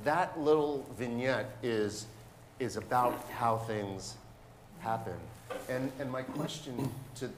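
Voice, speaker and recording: An older man with a fairly low voice moderates a panel on stage. He speaks informally and haltingly, breaking his phrases into short pieces. The hall is echoey, with some noise behind him. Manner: halting, pausing mid-phrase. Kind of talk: informal anecdote and questions to a panel. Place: stage of a lecture hall, indoors.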